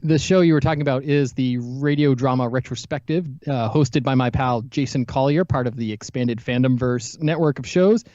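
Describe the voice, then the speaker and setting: A man's voice: mid-range voice, normal speed, hardly any pauses, warm tone, dry recording. A man hosting a casual podcast interview, chatting with a guest. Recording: slightly dull online-call recording.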